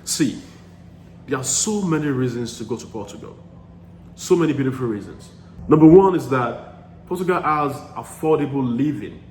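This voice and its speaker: A man's voice: medium pitch, animated, moderate pace, a little mellow. Earnest man, echoey room. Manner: emphatic, repeats key phrases for stress; frequent restarts and fillers, earnest. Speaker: study-abroad advice vlogger. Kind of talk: casual advice talk to camera. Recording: echoey room with faint background noise.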